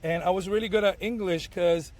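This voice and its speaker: A man in his thirties, Arabic his first language, talks in a lively, storytelling way, acting out his own past lines with many restarts. His voice sits a little higher than most men's. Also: brisk. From Tunisia. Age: 30s. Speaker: bartender guest. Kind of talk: casual podcast conversation.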